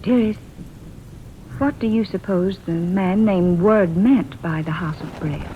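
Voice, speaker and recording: An actress playing an affectionate, curious wife and travelling companion in an old-time radio drama style. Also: female voice, on the low side, a little slow, close to an everyday voice. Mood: tender, curious. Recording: dull noisy old radio recording, rain behind.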